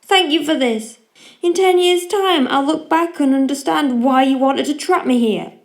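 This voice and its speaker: A woman's voice: sits high, moderate pace. An actress playing a young daughter in an audio drama, earnest and insistent as she pleads to leave home. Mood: frustrated, accusing.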